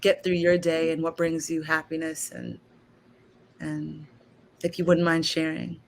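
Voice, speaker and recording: An American woman from Detroit in a casual personal conversation, feeling her way through her phrases with hesitant pauses. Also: sits low, steady tone, vocal fry, slight background noise. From Detroit, Michigan, United States. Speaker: interviewer, actor.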